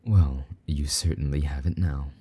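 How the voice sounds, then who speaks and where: Casting: male actor as a smug, possessive demon boyfriend for intimate audio roleplay; bottomless low pitch, husky edge, teasing and doting delivery. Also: fairly even intonation, a little slow, dark tone, heavily acted. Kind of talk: intimate scripted roleplay monologue.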